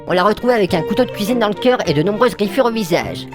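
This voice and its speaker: Woman playing lively, deferential junior police officer. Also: medium pitch, rapid-fire, over music, natural voice. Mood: dutiful. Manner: deferential, hesitant. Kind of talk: comedic audio drama acting.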